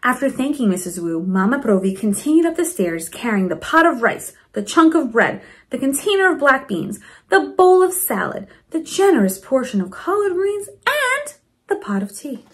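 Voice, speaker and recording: A woman reading a storybook aloud to children in an expressive way, with chatty asides to her young listeners. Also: high voice, moderate pace. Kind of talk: animated children's read-aloud.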